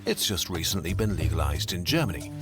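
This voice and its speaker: A man giving scripted explainer narration with a lively, clear delivery. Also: deep voice, a little fast. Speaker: educational video narrator. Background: background music.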